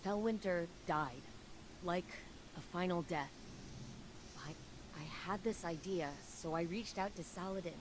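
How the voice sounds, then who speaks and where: A woman's voice: on the low side, a little slow, background noise, natural voice. A woman voicing a driven young female scientist in a video game cutscene, speaking earnestly, with urgent persuasion. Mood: urgent, hopeful.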